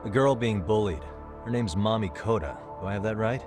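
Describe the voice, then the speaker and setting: A man's voice: fairly low pitch, brisk, lightly acted. An actor playing a cool, confident male private detective, casual and plainspoken, in a video game drama. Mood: matter-of-fact. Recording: music behind the voice.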